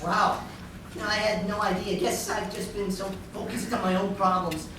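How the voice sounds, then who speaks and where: A woman playing a breezy, smug rich high schooler in a live radio play. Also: little pitch movement, moderate pace, close to an everyday voice. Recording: echoey hall from far off, noisy.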